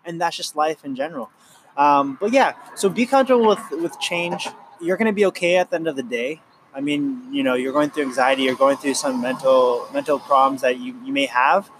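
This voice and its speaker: A man's voice: medium pitch, fairly quick, background noise. A man in his twenties chatting casually with a friend, earnest and rambling, full of fillers and restarts. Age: 20s. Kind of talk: casual chat.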